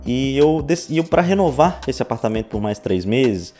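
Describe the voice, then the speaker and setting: A man's voice: medium pitch, expressive, normal speed, warm tone. A man in his twenties with a Brazilian Portuguese accent, telling his experiences in a casual, conversational vlog style. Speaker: vlogger. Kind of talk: casual storytelling to camera. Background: background music.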